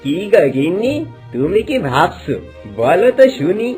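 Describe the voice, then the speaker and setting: An eager cartoon ghost husband acted by a man, doting and fawning. The voice sits high and swings widely, with music behind it. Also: very animated, normal speed, darkish tone. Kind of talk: cartoon voice acting.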